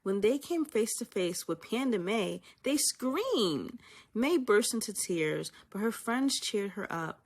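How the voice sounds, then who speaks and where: Woman teacher, storybook narrator; soft hushed delivery, animated pitch. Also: slow. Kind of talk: storybook read-aloud.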